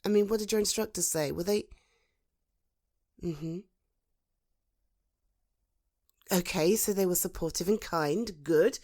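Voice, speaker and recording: A British woman playing a protective, supportive female friend in a conversational ASMR roleplay, soothing and encouraging, with thoughtful pauses. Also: lowish voice, a little mellow, close to an everyday voice. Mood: concerned, reassuring. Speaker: voice actor in an audio roleplay. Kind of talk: ASMR roleplay, conversational one-sided dialogue.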